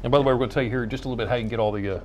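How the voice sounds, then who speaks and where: A man co-hosting a local TV morning show, energetic and jokey, bantering while he helps cook on a studio kitchen set. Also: mid-range voice, rapid-fire, very noisy. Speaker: TV show co-host.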